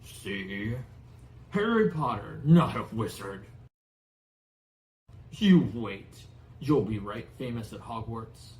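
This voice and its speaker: A gruff but big-hearted giant, acted out by a male narrator, growling and fiercely loyal. He talks in rough rustic dialect, as in a storybook reading. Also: lowish voice, lively intonation, slow, pauses often, a little mellow, low-quality recording. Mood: amused, proud. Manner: rustic, colloquial, dropped words and dialect grammar.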